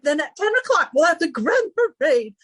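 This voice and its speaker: A woman's voice: high-pitched, sing-song, normal speed, a bit bright, dry recording, studio quality. A woman playing a vain, flirtatious wealthy society hostess, gushing and affected, in 1940s radio style. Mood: grandly excited. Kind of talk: recreated 1940s radio comedy-drama acting.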